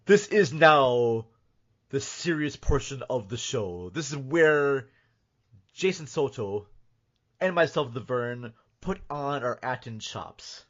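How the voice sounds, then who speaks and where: A man's voice: mid-range voice, animated, takes it slowly, a bit bright. A man hosting a podcast in casual, joking, sometimes mock-serious chat. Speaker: podcast host. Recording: dull, band-limited podcast audio.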